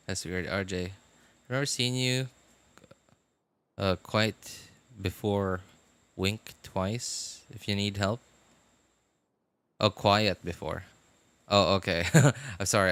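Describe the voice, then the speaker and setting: A man's voice: on the low side, a bit bright, clear, resonant tone. A man livestreaming a game, chatting casually and hesitantly while reading chat aloud. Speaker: game streamer. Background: game music.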